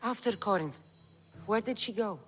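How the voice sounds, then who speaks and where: A woman's voice: mid-range voice, moderate pace, a bit bright, soft background music, close to an everyday voice. An actress playing a tough, defiant young female mercenary in a video game, blunt and coolly confident. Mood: probing. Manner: cool, blunt, dryly confident. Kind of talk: video game cutscene acting.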